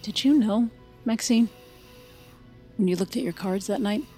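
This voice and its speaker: A woman's voice: mid-range voice, unhurried, warm tone, natural voice. An actress playing a reflective, grieving woman in a naturalistic audio drama. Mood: gentle, subdued. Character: Felicia, reflective grieving woman narrating, friend of the lost girl. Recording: music behind the voice.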